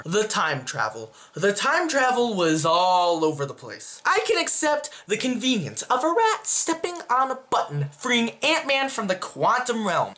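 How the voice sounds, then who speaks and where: A man's voice: high-pitched, bright, forceful tone. A young man hosting a casual, chatty movie review, talking expressively to camera in a small room. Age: young adult. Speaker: video host, movie reviewer. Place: small plain room indoors.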